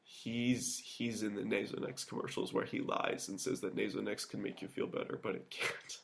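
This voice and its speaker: A man's voice: lowish voice, little pitch movement, moderate pace, vocal fry, a bit bright, slight background noise. A young man co-hosting a podcast, chatting casually and rambling, often restarting sentences and laughing. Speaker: podcast co-host. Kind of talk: casual chat.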